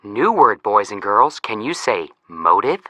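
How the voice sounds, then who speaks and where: A man's voice: fairly low pitch, very animated, a little slow, sharp tone, dry recording, exaggerated voice. A man playing a cocky, playful young detective in a video game, with a breezy, wisecracking and theatrical delivery. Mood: wry. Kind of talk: scripted game voice acting.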